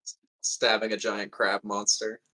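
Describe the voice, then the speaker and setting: A man's voice: fairly low pitch, monotone, unhurried, pushed and bright, low-quality recording. A man playing a tabletop roleplaying game, trading casual banter and improvised in-character lines.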